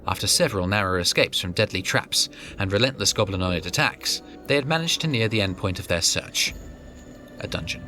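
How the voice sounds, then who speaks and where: Male narrator, lowish voice, steady scripted fantasy storytelling over music. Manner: measured, descriptive storytelling. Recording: background music.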